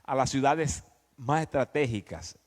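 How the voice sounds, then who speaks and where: A man's voice: medium pitch, measured pace. A man preaching in a conversational, emphatic teaching style, often prompting his listeners with questions. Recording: echoey hall with long reverb.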